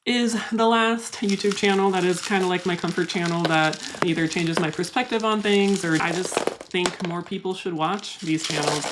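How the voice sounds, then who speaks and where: A woman's voice: lowish voice, very noisy. A young woman talking casually and candidly to her viewers while she repots and stakes houseplants. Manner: soft, candid, confiding. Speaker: plant vlogger.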